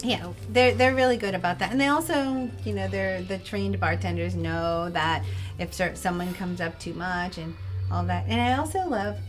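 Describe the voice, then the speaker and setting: A woman's voice: fairly low pitch, moderate pace, soft background music. Chatty enthusiastic woman host. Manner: chatty, enthusiastic, laughs often. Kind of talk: casual interview chat.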